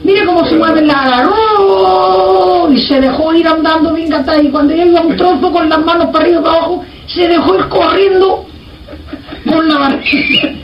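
A woman tells a folk anecdote in an earthy, animated, colloquial way. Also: very high-pitched, moderate pace. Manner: earthy, animated retelling with repeated reported speech. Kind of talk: casual oral anecdote, colloquial. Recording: muffled, roomy, distant mic, faint noise.

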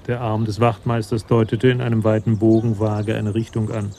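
An actor serving as the composed narrator of a German radio crime drama, reading scripted prose. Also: male voice, on the low side, monotone, moderate pace, dark tone, slight background noise, lightly acted. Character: composed storyteller of a police tale.

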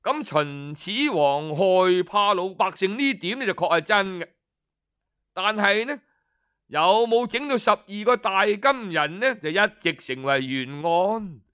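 A man narrating history as a radio storyteller, deliberate and explanatory, pausing for effect. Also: high voice, pushed and bright, clean tone. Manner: deliberate, explanatory, with rhetorical pauses and questions.

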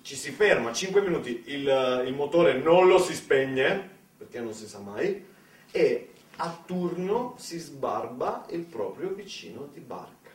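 A man performing a dramatic theatrical storytelling monologue, emphatic, with deliberate pauses and repetitions. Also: fairly high pitch, very animated. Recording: roomy, distant mic, faint background noise.

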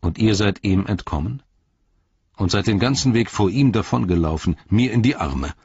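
A man voicing a grave, stern captain of men who speaks slowly, quietly and formally in a storybook reading. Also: on the low side, animated, slightly rough, voice pushed up, exaggerated voice. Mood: ominous, ironic. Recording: slightly dull, roomy sound.

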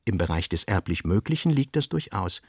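A man reading literary prose as a radio play narrator, in a measured, deliberate, essayistic manner. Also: deep voice, big pitch swings, vocal fry, a little mellow, slightly rough, no echo, clean studio sound.

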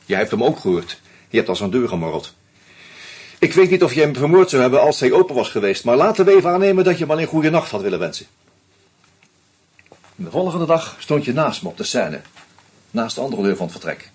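A man's voice: mid-range voice, sing-song, brisk, pauses often, a little mellow, slightly rough. An actor playing Hans, a bitter, drunk man who narrates and sardonically confronts a murderer in a radio play. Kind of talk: first-person radio drama narration.

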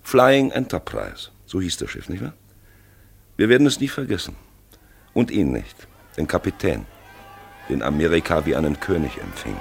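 A man playing a brooding, probing narrator in a 1950s radio play, with emphatic phrases and hushed asides. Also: a bass voice, very animated, moderate pace, pauses often, slight background noise, full character voice. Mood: intense, reflective. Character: brooding male narrator-guide, probing and dramatic. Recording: husky-sounding 1950s radio recording.

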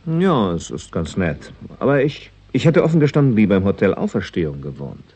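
Blunt, irritable man, lively pitch swings. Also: brisk, husky. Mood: probing. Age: middle-aged. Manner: blunt, impatient, sardonic. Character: irritable foreign male visitor over forty, dry and blunt. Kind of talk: 1960s German radio play acting. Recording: 1960s radio recording with background hiss.

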